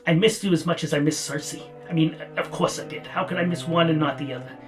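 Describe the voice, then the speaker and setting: A man's voice: on the high side, steady tone, soft background music, lightly acted. Man voicing a weary old fantasy beast warrior. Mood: remorseful. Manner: halting, grumbling. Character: weary, gruff old male fantasy warrior with animal ears and tail. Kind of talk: acted audio fantasy story.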